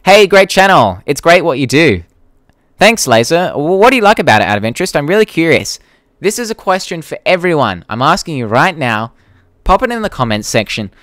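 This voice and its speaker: Casual, clear-voiced Australian man. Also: a little high, very animated, a little fast, fairly bright tone, dry recording, clean studio sound. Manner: blunt, informal, sweary. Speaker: YouTube creator hosting a live Q&A. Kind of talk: casual livestream chat reading viewer comments.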